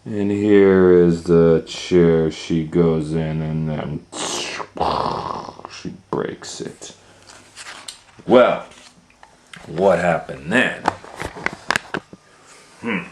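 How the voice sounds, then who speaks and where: A man's voice: low-pitched, extremely slow, long pauses, darkish tone, a little hiss or hum. A man reading a children's story aloud as an educator, asking rhetorical questions and explaining the pictures to young listeners. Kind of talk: animated children's storytime narration.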